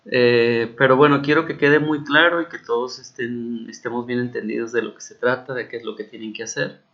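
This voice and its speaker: Gravelly Mexican teacher explaining an assignment. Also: male voice, medium pitch, steady tone, brisk, a bit bright, lo-fi sound. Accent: Mexican Spanish. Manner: patient, repeats key points with frequent check-ins. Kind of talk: recorded class explanation.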